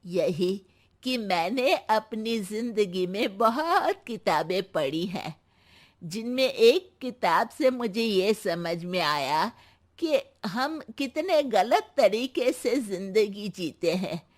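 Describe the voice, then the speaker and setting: A woman playing a proud, sharp-tongued, active elderly grandmother in a cartoon story, with a taunting, deliberate delivery. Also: mid-range voice, animated. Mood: calm, wise. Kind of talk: Hindi cartoon story character acting.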